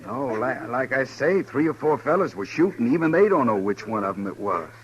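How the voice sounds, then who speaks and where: An American man playing a beaten, resigned frontier townsman in a 1950s radio western, speaking in a weary, halting, plainspoken way. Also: medium pitch, expressive, lightly acted. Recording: dull, noisy old radio recording.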